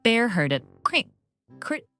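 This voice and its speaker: A woman storyteller giving an expressive read-aloud narration for children. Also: a little high, takes it slowly, smooth. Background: soft music.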